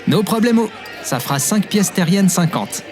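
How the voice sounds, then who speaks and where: An easygoing young barista in an audio drama, his voice a little high. He is casual and good-natured, a forgetful sort, with music clearly behind him. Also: animated, moderate pace. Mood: cheerful, friendly. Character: Timo, easygoing, forgetful, cheerful young male barista telling his past. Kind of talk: audio drama acting and informal storytelling.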